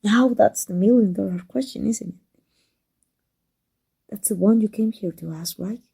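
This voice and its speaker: Woman acting as proud villainess, secretly devoted, Mexican accent, soft dark tone, husky breathiness, emotional, intimate audio roleplay. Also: mid-range voice, unhurried. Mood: angry, guarded. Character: proud, flustered, secretly devoted villainess with life-transfer power.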